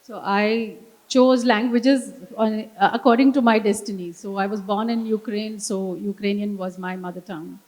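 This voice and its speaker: A woman's voice: medium pitch, fairly even intonation, measured pace, hardly any pauses, a little mellow, rough recording. A woman interpreting live on stage, relaying speech in careful segments.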